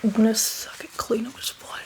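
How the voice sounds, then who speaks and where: A woman's voice: a little high, level tone, fairly quick, lightly acted. A woman playing a hardcore, teasing zombie mentor in a whispered, coaxing ASMR roleplay with playful asides. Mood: hungry, teasing.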